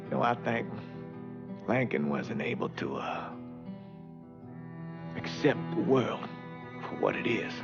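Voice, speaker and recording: A man acting a weary, sorrowful old priest in a video game drama, speaking haltingly and gravely. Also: mid-range voice, big pitch swings, heavily acted. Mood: reflective, sorrowful. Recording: dull lo-fi sound with music underneath.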